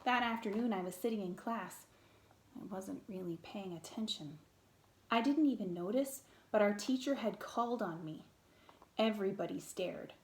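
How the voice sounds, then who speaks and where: A woman reading a children's picture book aloud in an expressive, theatrical way, with dramatic pauses and emphasis. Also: mid-range voice, reverberant, slight background noise.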